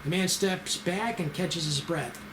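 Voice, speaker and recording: A man's voice: fairly high pitch, measured pace. A man narrating scene directions aloud at an amateur screenplay table read. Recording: roomy echo with clear noise.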